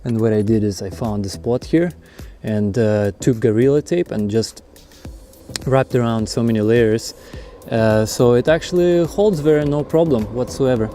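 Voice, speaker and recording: A man's voice: medium pitch, measured pace, dark tone, soft background music. A man hosting an informal hands-on how-to video, explaining things in a loose, rambling, unscripted way. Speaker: host of a truck build how-to video. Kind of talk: casual unscripted hands-on walkthrough.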